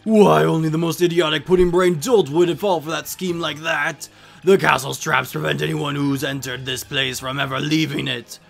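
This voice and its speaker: A man voicing a gleeful, taunting evil curse spirit from a video game, theatrical and cackling, with drawn-out insults. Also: a little high, fairly even intonation, gravelly, soft background music. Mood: mocking glee. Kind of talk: exaggerated video game villain voice-over.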